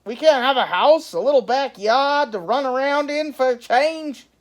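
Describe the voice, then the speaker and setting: A woman voicing a fed-up, excitable male pet dog who complains in whiny exclamations. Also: high voice, normal speed, voice pushed up, natural voice. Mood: indignant.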